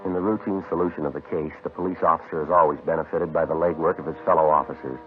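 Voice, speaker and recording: An actor playing a male police officer narrating his case in an old radio drama, deadpan, clipped and formal. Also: a bass voice, fast talker. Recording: muffled vintage radio with music behind.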